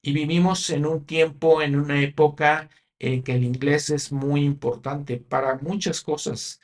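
A man's voice: a little high, flat delivery, a little slow. A Spanish-speaking man hosting a religious podcast, explaining history in a teaching manner, with frequent fillers and restarts. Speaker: podcast host.

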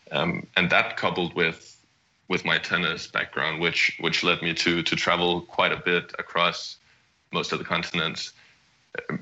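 Deep-voiced man with a bright edge. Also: muffled recording. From Denmark. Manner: halting, often restarts phrases. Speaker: startup CEO guest. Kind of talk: conversational interview answer.

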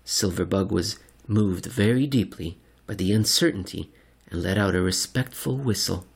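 A man reading a scripted comic science-fiction story in the first person, with a dry, deadpan, wordy delivery. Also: sits low, normal speed, gravelly, slight background noise. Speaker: narrator.

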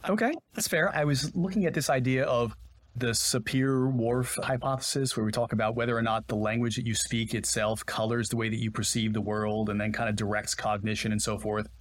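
A man with a mid-range voice co-hosts a casual podcast, asking thoughtful questions. He laughs easily and keeps the talk relaxed. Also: mid-range voice, a little fast. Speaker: podcast co-host.

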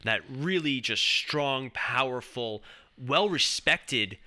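A man's voice: medium pitch, animated, slow, bright, forceful tone. A man co-hosting a casual film podcast, talking enthusiastically and rambling with restarts. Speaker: podcast co-host.

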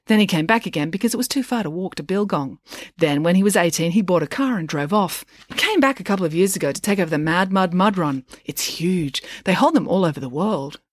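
A wry, knowing female character played by a woman narrator explains things breezily and ironically. Her storybook voice is medium in pitch and animated, close and dry. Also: fairly quick, no room sound.

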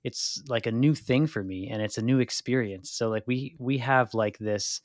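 A man in his early thirties co-hosting a casual podcast, talking in a relaxed, thoughtful way. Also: mid-range voice, moderate pace, no room sound, clean studio sound. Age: 30s. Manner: relaxed, thoughtful, hedging with likes. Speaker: podcast co-host.

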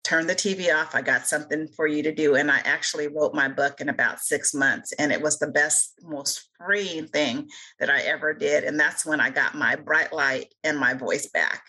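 Low, husky woman, earnest. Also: moderate pace, hardly any pauses, bright, forceful tone, poor audio. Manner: earnest, rambling, many fillers. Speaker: guest author. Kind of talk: casual interview conversation.

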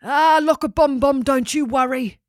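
A carefree male kangaroo drawls his jokes in a high, loud voice, as a woman puts him on. He sounds easygoing and playful, a storybook critter with no worries. The sound is dry and close. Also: moderate pace, no echo, lightly acted. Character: laid-back cheery male kangaroo.

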